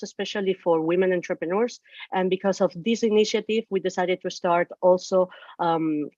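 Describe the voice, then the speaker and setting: Panelist: spanish-accented woman, lower voice, halting and earnest, dry muffled video-call audio. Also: a little mellow, no echo. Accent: Spanish (Canary Islands). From Canary Islands, Spain. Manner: earnest, halting with many fillers and restarts. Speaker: panelist, entrepreneur. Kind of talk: panel discussion.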